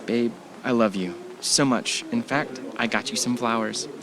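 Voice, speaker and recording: A man's voice: mid-range voice, measured pace, natural voice, poor audio. A man playing an easygoing, affectionate husband on vacation in an audio drama, speaking casually with a joking manner. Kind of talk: audio drama acting, conversational. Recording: faint background noise.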